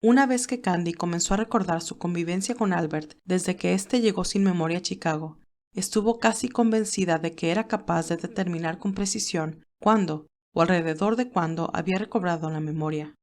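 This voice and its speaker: A woman's voice: lowish voice, fairly quick, darkish tone. A woman reading scripted story narration as an audiobook narrator.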